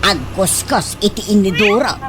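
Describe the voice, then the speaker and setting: A woman's voice: medium pitch, animated, moderate pace, over a noisy crowd, natural voice. A woman playing a harsh, belittling middle-aged mother in a comedic radio drama, scolding in a scornful, nagging way. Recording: loud background noise.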